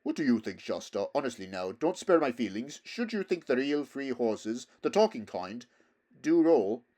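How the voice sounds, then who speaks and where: A man's voice: medium pitch, very animated, moderate pace, dark tone, close to an everyday voice. A proud talking horse from a storybook, played by a man. He speaks in a pompous, emphatic way, lecturing like a worldly elder companion. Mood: anxious. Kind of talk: storybook character voice.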